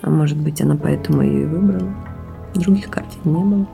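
A candid, reflective woman in an audio drama. Her low voice is full of vocal fry, and she speaks confidingly, with firm opinions. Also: fairly quick, music in the background. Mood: intimate, musing. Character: candid, reflective woman, intimate partner hoping for motherhood.

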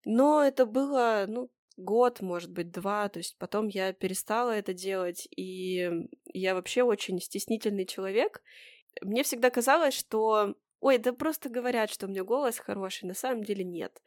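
A woman's voice: medium pitch, normal speed, no echo. A woman with a mid-range voice co-hosts a casual podcast. She recalls things in a hesitant, self-deprecating manner, as if talking to a friend. The recording is close and dry. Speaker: podcast co-host. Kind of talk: casual chat, personal recollections.

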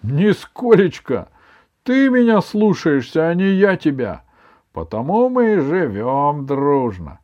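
A man's voice: a little high, big pitch swings, slow pace, darkish tone, highs cut off, voice pushed up. A man voicing a stubborn, cheeky but affectionate young grandson in a children's story, arguing in an emphatic, defiant way.

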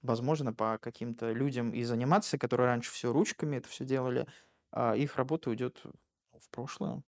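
A man with a medium-pitched voice acts as an interviewer. His delivery is informal and conversational, and he often searches for words while working through a long thought. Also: steady tone, fairly quick. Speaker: interviewer, host.

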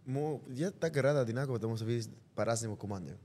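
A man from Ecuador with a Spanish accent, a football player speaking halting, non-native Russian as a guest in a casual podcast interview. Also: mid-range voice, mellow, a little hiss or hum.

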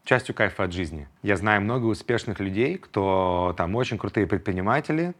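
A man's voice: on the low side, expressive, brisk. A man in his thirties hosting a solo podcast, talking reflectively and acting out his inner voices in quoted asides. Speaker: podcast host.

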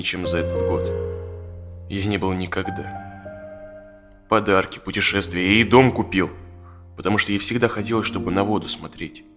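A man acts out a conflicted married professional of about forty in an audio play. His voice is on the low side, hesitant and confessional, with natural phrasing over soft plucked guitar music. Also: natural voice. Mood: earnest, conflicted. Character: conflicted married dentist of forty, confiding in a therapist. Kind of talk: naturalistic audio drama dialogue.

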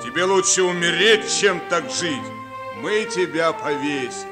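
Bitter wronged beggar, fairly high voice, mournful, measured declamation, long reverb, music behind. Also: male voice, expressive. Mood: bitter sorrow. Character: bitter, wronged outcast beggar condemned to hang. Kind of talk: solemn theatrical radio monologue.

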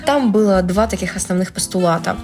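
A young woman from Russia, a translator, explaining things in a casual, playful vlog with slangy asides and animated emphasis on key words. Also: medium pitch, brisk. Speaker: translator and Italian language blogger. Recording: music playing loudly under the voice. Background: background music.

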